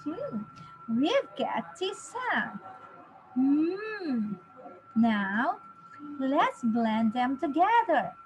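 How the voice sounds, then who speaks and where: A woman in her thirties teaching a children's phonics lesson, encouraging, with exaggerated, deliberate enunciation for young learners. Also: high voice, very animated, background noise. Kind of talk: children's phonics lesson, sounding out letters and words.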